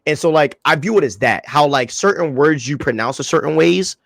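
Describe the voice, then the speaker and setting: A man's voice: fairly high pitch, brisk, vocal fry, no echo, clean studio sound. A young man co-hosting a podcast, talking casually in slang-heavy, rambling bursts. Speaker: podcast co-host.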